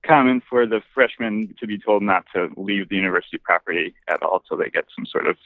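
A man's voice: fairly low pitch, quick pace, no echo. A man chatting casually as a podcast guest over a remote call with muffled sound. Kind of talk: casual chat. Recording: dull band-limited remote call.

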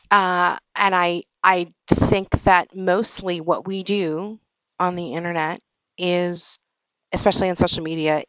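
Female podcast host with a fairly low voice, dry recording, thoughtful and measured in conversation. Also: fairly bright tone, no echo, low-quality recording. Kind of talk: conversational interview with reflective remarks.

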